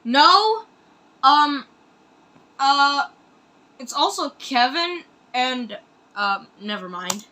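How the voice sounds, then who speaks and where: A woman with a high, bright voice hosts a casual reaction video. She takes her time between phrases, yet comes across as excitable, exclaiming often and rambling through her commentary. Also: drawn-out words, pauses often, low-quality recording.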